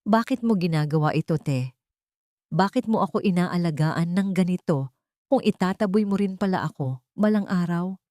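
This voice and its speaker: A woman's voice: fairly low pitch, lively intonation, normal speed, dark tone, dry recording, studio quality, natural voice. A woman voicing a sick young wife in an audio drama, pleading and questioning with weak, pained delivery.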